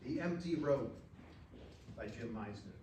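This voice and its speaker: A man's voice: medium pitch, expressive, unhurried, a little mellow. An American man, a professional actor, narrating short fiction aloud at a live stage reading. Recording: roomy, distant stage recording, faint noise.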